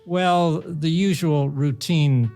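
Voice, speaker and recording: A man from Ohio, a podcast guest who talks slowly and hesitantly with short laughs. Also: fairly high pitch, a little mellow, faint music behind. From Ohio, United States. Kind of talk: casual podcast chat.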